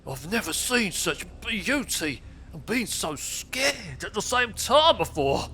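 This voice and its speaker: Guy doing a nervous crow buddy for an audio drama, pitched up, fretting, some noise behind. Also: very animated, slow, pushed and bright, exaggerated voice, rough recording. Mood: awed, scared. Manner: fretful, cautioning. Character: Peg, anxious, cautious male crow companion. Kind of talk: audio drama character acting.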